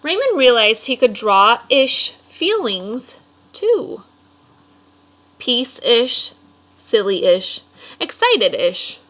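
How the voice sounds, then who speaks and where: A woman reading a children's picture book aloud expressively, pausing for casual explanatory asides to young listeners. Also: on the high side, very animated, a bit bright, low-quality recording. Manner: explanatory, stops to clarify words for children. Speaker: read-aloud storyteller.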